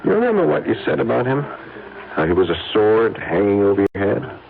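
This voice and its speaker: A man's voice: on the low side, very animated, a little fast, vocal fry. An actor playing a troubled, hesitant businessman with a guilty conscience in an old-time radio drama. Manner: hesitant, earnest. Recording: noisy, tinny old radio recording.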